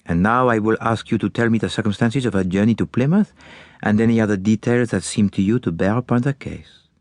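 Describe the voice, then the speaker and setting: A man's voice: lowish voice, brisk, a little mellow, no room sound, natural voice. A man voicing a dapper, shrewd Belgian detective with a French-speaking Belgian accent and precise, formal manner in an audiobook. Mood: courteous, probing. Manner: precise, formal, courteous questioning. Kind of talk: audiobook character acting.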